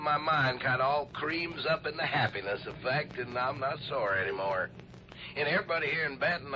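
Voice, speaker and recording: An actor playing a weary, grudging, folksy small-town police chief in a 1950s radio drama. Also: male voice, a little high, close to an everyday voice. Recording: noisy, dull 1950s radio recording.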